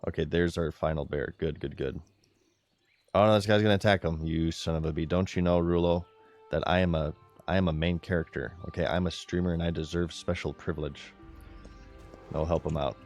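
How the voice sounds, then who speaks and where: A man's voice: sits low, fairly even intonation, brisk, a little mellow, soft background music. A man streaming a video game, chatting casually to his viewers in a giggly, laid-back way.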